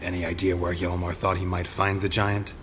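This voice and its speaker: Actor as very low, deadpan monster hunter. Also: male voice, a little fast, noisy background, close to an everyday voice. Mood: deadpan, businesslike. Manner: terse, deadpan. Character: stoic, dry, world-weary monster hunter for hire. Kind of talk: video game voice acting.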